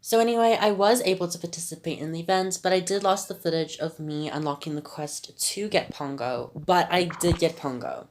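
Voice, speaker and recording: Woman gamer with a sick, phlegmy throat, casual commentary, slightly low voice. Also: brisk, few pauses, a little mellow. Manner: chatty, apologetic about her voice. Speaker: gaming YouTuber.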